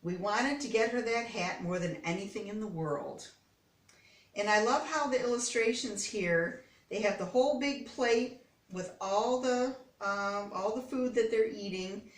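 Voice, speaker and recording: A woman's voice: mid-range voice, measured pace. Echoey, distant older American woman reading aloud. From Detroit, United States. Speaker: storybook reader. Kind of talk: read-aloud of a children's picture book with casual asides. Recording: roomy, echoey, distant microphone.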